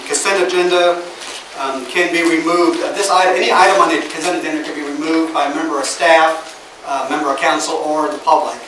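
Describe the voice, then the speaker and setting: A man with a Midwestern American accent, serving as mayor and chairing a city council meeting in a measured, procedural way with frequent fillers. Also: on the high side. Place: council chamber. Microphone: far from the mic. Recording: roomy, distant, noisy chamber audio.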